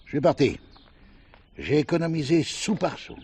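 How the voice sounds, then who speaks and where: An actor playing a gruff, quick-tempered man who runs a western ranch, in a French radio play. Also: on the high side, very animated, a little mellow, a little hiss or hum. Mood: wistful, wry.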